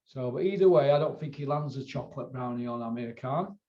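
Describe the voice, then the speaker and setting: A man's voice: mid-range voice, brisk, mellow. A man with a Northern English accent chatting casually as host, in a rambling, colloquial way that circles back on itself. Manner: rambling, colloquial, sweary, repeats himself. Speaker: host of a boxing video channel. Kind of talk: casual chat. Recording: roomy, dull lo-fi sound.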